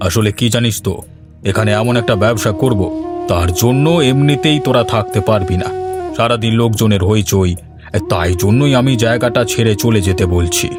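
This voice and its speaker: A man's voice: on the low side, normal speed, natural voice. Stern, firm village man voiced by an actor. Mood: threatening. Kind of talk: Bengali cartoon voice acting. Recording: prominent music behind the voice.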